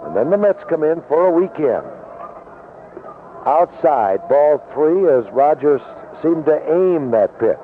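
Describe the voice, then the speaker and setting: American baseball announcer on tinny vintage radio. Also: male voice, fairly high pitch, animated, slow pace, a little hiss or hum. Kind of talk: 1970s radio baseball commentary.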